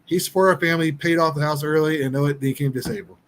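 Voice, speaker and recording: A man's voice: a little high, very fast, slight background noise. A man in his thirties chatting casually on a livestream from home over a laptop, rambling with many restarts. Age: 30s. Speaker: livestream host. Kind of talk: casual chat.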